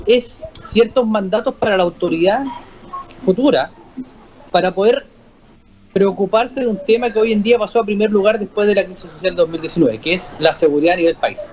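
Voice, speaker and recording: A man with a Chilean accent, a guest on a political panel, arguing his view in a measured way with frequent restarts. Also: sits high, expressive, darkish tone, slight background noise. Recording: slightly muffled audio with faint music.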